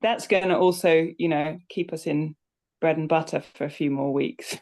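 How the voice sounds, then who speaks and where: A woman's voice: deep voice, fairly even intonation, normal speed, darkish tone. A woman chatting reflectively on a live online call, hesitant and repeating words as she thinks. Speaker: co-creator speaking on a live audience call. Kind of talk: casual reflective conversation.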